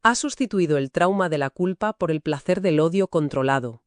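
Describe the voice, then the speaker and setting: A woman's voice: deep voice, lively intonation, normal speed, no room sound, clean studio sound. A woman co-hosting a book discussion, giving emphatic, conversational literary commentary.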